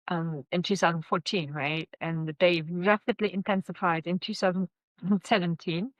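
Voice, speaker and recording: Halting low-voiced woman interviewer. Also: no room sound, dull audio. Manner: halting, repeats and restarts words. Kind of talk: interview questions.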